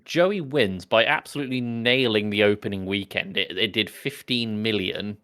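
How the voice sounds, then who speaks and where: Jokey low-pitched man chatting about films. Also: moderate pace, a bit bright, clear, resonant tone. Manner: jokey, sweary banter. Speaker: podcast co-host. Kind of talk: casual film chat.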